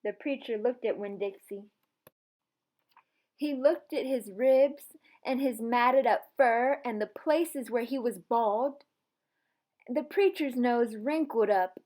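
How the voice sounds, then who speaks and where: A woman with a fairly high voice speaks as a schoolteacher to students. Her delivery is expressive and encouraging, suited to storybook reading and simple lessons. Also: slow pace. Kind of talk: storybook read-aloud and lesson to camera.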